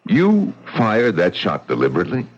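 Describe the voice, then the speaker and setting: Deep-voiced bitter widower, sarcastic; dull hissy radio sound. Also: male voice, huge pitch swings, moderate pace. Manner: sharp, sarcastic. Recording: muffled old radio recording, faint hiss.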